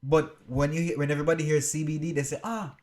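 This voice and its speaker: A man's voice: a little high, level tone, fast, over a noisy crowd. A Jamaican man co-hosting a podcast, chatting casually and agreeing easily. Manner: easygoing, agreeable, laughs along. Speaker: podcast co-host. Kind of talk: casual chat.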